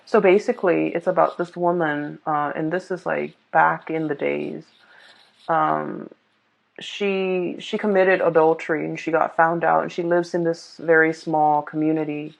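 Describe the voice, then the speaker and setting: A woman giving a casual off-the-cuff tarot reading, explaining things in a halting, hesitant way. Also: deep voice, fairly even intonation, creaky. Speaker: tarot reader. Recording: dull, muffled lo-fi sound.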